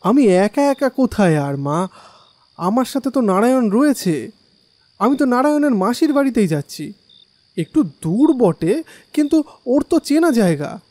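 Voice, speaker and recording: A man's voice: very high voice, very animated, unhurried, pauses often, darkish tone, heavily acted. A man playing a dutiful, eager young village son in an audio drama, with dialogue and first-person storytelling. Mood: eager, reassuring. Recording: long hall reverb, music underneath.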